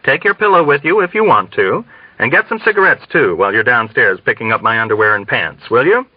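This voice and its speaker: A man reading a novel as a calm, jaded male general with a weary, condescending manner. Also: medium pitch, brisk, dry recording, muffled recording, lightly acted.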